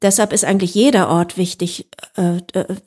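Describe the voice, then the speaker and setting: A woman's voice: lowish voice, normal speed, darkish tone, husky. A woman musician talking about her work as an interview guest, thoughtful and searching for words, with frequent fillers and restarts. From Germany. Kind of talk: reflective interview talk.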